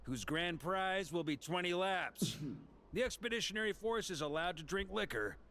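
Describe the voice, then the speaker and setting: An actor playing a hearty, rugged knight commander in a video game, jovial and philosophical with a rousing, theatrical manner. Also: male voice, sits high, animated, normal speed, sharp tone, exaggerated voice. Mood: commanding. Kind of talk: dramatic video game voice acting. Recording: music behind the voice.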